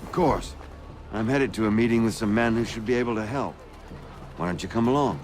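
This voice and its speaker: An actor playing a genial, self-assured colonial patriot in a video game, speaking with polished eloquence. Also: male voice, fairly low pitch, a little fast, a little mellow, faint music behind, close to an everyday voice. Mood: affable. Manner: polished, oratorical, affable.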